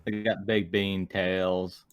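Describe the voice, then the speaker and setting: A man's voice: deep voice, flat delivery, slow pace, clear, resonant tone. A man playing a tabletop roleplaying game and chatting casually with the group.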